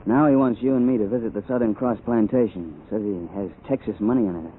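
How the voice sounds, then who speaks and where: An actor playing a terse, wry adventurer-investigator in a 1940s radio drama, with a dry, understated delivery. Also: male voice, on the low side, fast, a little hiss or hum, close to an everyday voice. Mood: tense. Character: terse, wry male adventurer-investigator, world-weary hero. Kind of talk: 1940s radio drama acting and first-person narration. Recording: tinny old radio broadcast, music behind.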